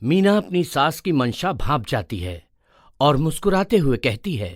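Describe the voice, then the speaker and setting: A man's voice: on the high side, big pitch swings, a little slow, no echo, clean studio sound. A man narrating a scripted family story as its storyteller.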